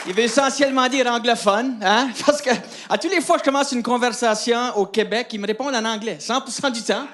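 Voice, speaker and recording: A man's voice: extremely high pitch, brisk, fairly bright tone, some chatter behind. A man in his thirties with an Acadian French accent, performing a stand-up routine in a chatty, self-mocking way and often laughing at his own lines. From New Brunswick, Canada. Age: 30s. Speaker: stand-up comedian. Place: comedy stage before a live audience. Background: faint audience laughter.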